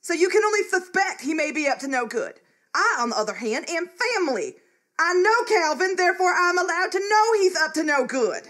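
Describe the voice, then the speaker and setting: A woman's voice: very high voice, very animated, brisk, a bit bright, some chatter behind, full character voice. A woman playing a bossy, indignant married lady in a live comic radio play, prim and scolding. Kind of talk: live comedic radio theatre acting.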